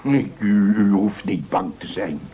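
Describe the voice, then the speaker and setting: On a dull old radio recording, a veteran postman full of professional pride. The husky voice sounds officious and dignified, quick to take offence. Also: lowish voice. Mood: proud. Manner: officious, dignified, easily affronted. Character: dutiful, huffy, proud veteran male postman. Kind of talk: radio play acting.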